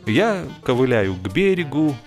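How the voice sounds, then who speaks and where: Casting: male audiobook narrator, mid-pitched, theatrical comic storytelling with pointed pauses, music underneath. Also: very animated. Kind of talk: expressive humorous literary narration.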